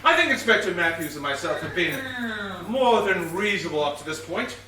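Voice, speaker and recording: Man playing a high-voiced, stern police inspector, echoey stage. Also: very animated, brisk, pushed and bright, heavily acted. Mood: stern, accusing. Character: male police inspector, stern, theatrical and self-assured. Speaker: stage actor. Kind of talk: amateur one-act stage play acting. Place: indoor theatre stage before an audience. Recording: echoey theatre stage from far, faint noise.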